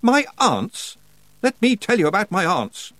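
A man's voice: sits high, very animated, a little slow, fairly bright tone, no room sound, pitched above the performer's own voice, heavily acted. A man voicing a proud, haughty teenage boy. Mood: angry.

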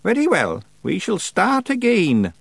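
Man voicing a shrill, stern old witch. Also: huge pitch swings, unhurried, gravelly, husky, no room sound, heavily acted. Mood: sharp. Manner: commanding, sharp interrogator. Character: stern, suspicious ancient witch, woman of 113. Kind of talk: audiobook character voice.

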